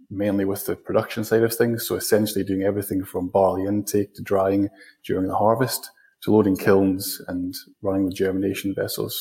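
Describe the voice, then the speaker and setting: A Scottish man from Scotland, a guest answering interview questions in a plain, measured way. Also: low voice, gravelly, a little mellow. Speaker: guest, operations manager.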